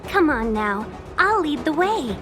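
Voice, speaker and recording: High-pitched, honeyed young envoy. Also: female voice, sing-song, measured pace. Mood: gracious. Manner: polite, formal, honeyed. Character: courteous, coy young woman trade envoy and guide. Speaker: guide and envoy. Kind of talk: video game voice acting. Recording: faint music behind the voice.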